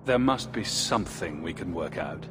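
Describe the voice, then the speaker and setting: An actor playing a young refugee hero in a video game, composed, diplomatic and dryly wry. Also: male voice, deep voice, animated, normal speed, music in the background. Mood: persuasive.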